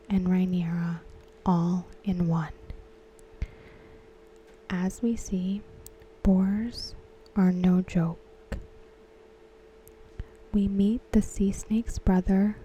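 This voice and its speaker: A woman whispering softly as an ASMR host, talking through a TV episode. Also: mid-range voice, a little hiss or hum, poor audio. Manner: whispered, unvoiced and close. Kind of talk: soft-spoken ASMR commentary.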